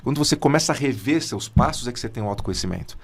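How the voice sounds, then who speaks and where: A man's voice: mid-range voice, lively intonation, rapid-fire, creaky, breathy edge. A Brazilian psychologist in his fifties, a podcast guest who talks casually and animatedly, with slang like "cara". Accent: Brazilian Portuguese. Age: 50s. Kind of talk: conversational podcast interview.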